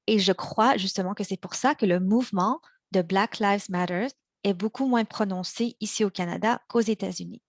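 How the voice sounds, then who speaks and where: Young woman who grew up in montreal, slow careful speech w little restarts, dead dry mic, mid pitch. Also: no echo. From China, raised in Montreal. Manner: measured, slows on key words, small restarts. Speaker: practice speaker for interpreters. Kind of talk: prepared practice speech.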